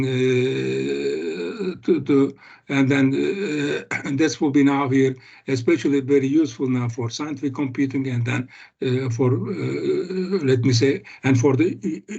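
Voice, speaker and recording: An older man giving an academic seminar on a video call, speaking haltingly with many fillers and restarts. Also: mid-range voice, a little mellow. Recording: muffled video call audio.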